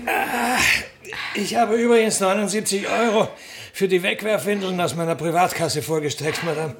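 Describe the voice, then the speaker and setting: A man's voice: sits high, moderate pace, crowd noise. An actor playing a put-upon, kindly servant in his fifties in a radio play, with a polite, hesitant, earnest manner. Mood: polite, reproachful. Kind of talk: naturalistic radio play acting.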